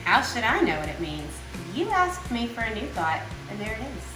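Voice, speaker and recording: A woman's voice: on the high side, big pitch swings, normal speed, sharp tone. A woman playing a wry, doubtful, lofty lady in a stagy poetry performance, speaking with formal wit. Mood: lofty, insistent. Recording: echoey room, music behind.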